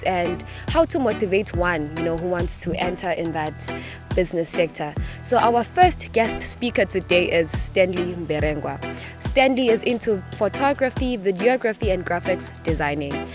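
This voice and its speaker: Woman show host, medium pitch, upbeat intro with music clearly behind.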